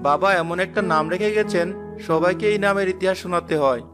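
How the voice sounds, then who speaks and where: A man's voice: high voice, a little fast, music in the background, lightly acted. A man playing an easygoing young husband and dutiful son in a Bengali cartoon. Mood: teasing.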